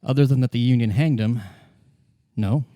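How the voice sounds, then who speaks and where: A man voicing a guarded, wry young woman in hiding, polite and halting, in a tabletop roleplay. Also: mid-range voice, dark tone, no room sound, clean studio sound, natural voice.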